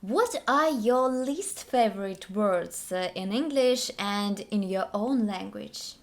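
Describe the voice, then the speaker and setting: A woman's voice: medium pitch, expressive, takes it slowly. A woman with a Russian accent, a native Russian speaker, co-hosting an English lesson in simple, careful question-and-answer conversation with some hesitant phrasing.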